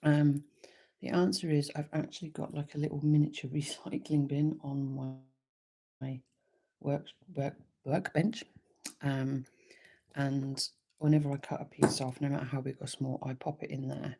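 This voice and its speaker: A woman with a slightly croaky voice, talking through a live craft tutorial in an easygoing, chatty way. Her voice sits low and has a gravelly fry. Its tone is dark and husky. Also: fairly even intonation, normal speed. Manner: chatty, easygoing, thinks aloud with frequent ums. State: slightly croaky, sore throat.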